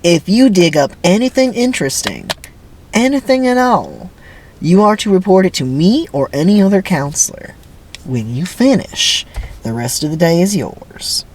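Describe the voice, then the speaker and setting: A man voicing a stern, menacing prison camp boss in his forties, taunting with drawn-out, swooping commands in a dramatic script reading, with background noise. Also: high-pitched, sing-song, darkish tone, pitched above the performer's own voice, rough recording. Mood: gruff, commanding.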